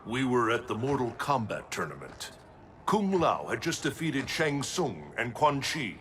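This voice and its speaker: A wise thunder god, even mid voice, ambient hiss behind. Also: male voice, mid-range voice. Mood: measured. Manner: calm, measured, reasoning. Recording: game cutscene with ambient noise.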